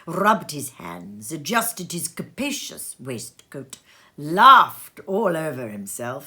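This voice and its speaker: A woman narrating a classic story expressively and dramatically, savouring each phrase. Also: deep voice. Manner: animated, dramatic, savours lists. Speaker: storybook reader. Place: sitting room.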